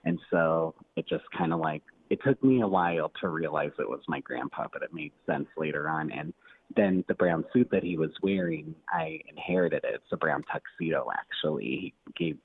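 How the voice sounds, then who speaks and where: A man's voice: extremely low pitch, moderate pace, husky, no room sound. A man calling in as a podcast guest over the phone, casually telling a personal story in an easygoing way. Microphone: phone call. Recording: tinny narrowband phone line.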